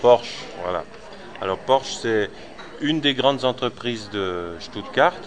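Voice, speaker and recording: A man's voice: mid-range voice, animated, slow. A man explaining to a class and prompting them with questions. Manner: quizzing listeners, prompting answers.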